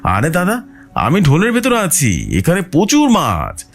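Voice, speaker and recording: A man's voice: a little high, huge pitch swings, measured pace, faint music behind, voice pushed up, exaggerated voice. A man voicing a spirit that poses as a friendly uncle, coaxing and insistent, in a horror story. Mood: beckoning. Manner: coaxing, insistent, repeating invitations. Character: luring male spirit posing as a friendly uncle.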